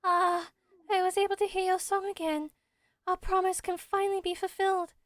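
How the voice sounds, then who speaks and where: A woman plays a bold little forest spirit from a fantasy game. The voice is high and the delivery florid and theatrical, as if every line were poetry. It is recorded very dry. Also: little pitch movement, moderate pace, no room sound. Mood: grateful. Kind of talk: amateur video game voice acting.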